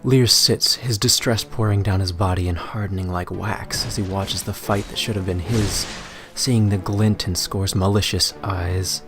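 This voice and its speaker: A man's voice: deep voice, steady tone, moderate pace, music in the background. A man reading his own fan fiction as a dramatic, mock-serious, theatrical narrator.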